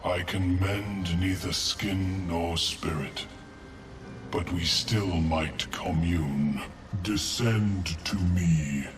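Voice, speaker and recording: An ancient mushroom ruler, with an abyssally low, droning voice. Every pronouncement comes out extremely slowly, solemn and grim, as in a fantasy game. Loud music plays behind. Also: male voice, fairly even intonation. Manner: slow, terse, ceremonial pronouncements. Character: ancient mushroom sovereign, grieving, vengeful, solemn. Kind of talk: video game voice acting.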